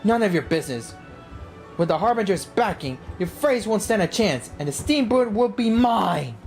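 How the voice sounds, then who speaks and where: A woman's voice: medium pitch, animated, moderate pace, a little mellow, faint music behind, lightly acted. A woman voicing a smug, self-righteous game villain who gloats and speaks pompously. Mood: gloating.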